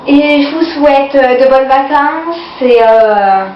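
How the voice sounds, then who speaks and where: A woman's voice: sits high, takes it slowly, slight background noise. Hesitant woman with a cold, echoey lo-fi video. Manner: hesitant, many fillers, keeps saying "voilà". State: has a cold, runny nose and cough. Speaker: vlogger showing sale purchases. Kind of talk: casual haul video. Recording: echoey, distant, dull lo-fi home video.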